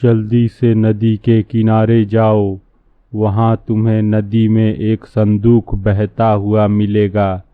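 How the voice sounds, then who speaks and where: Cartoon villain, male actor: scheming bossy fake holy man, lowish voice, narrow muffled recording. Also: monotone, no room sound. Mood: bossy, scolding. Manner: commanding, slow, scolding. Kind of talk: Hindi cartoon storybook acting. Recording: muffled, phone-like narrow-band sound.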